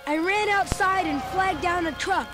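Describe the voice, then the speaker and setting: A woman's voice: very high-pitched, a little slow. A woman voicing a chubby, food-loving boy who narrates a storybook record with chatty, comic asides. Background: music.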